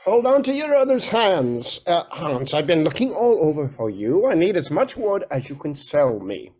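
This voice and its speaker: A man's voice: on the high side, sing-song, moderate pace, darkish tone. A man acting a harried, henpecked lord and father in a fairy-tale stage play, flustered and theatrical.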